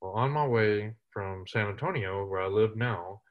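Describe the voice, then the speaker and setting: Man in his thirties, humorous storyteller, low voice, muffled recording. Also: normal speed. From West Texas. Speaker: interview guest, military veteran. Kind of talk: storytelling in casual interview.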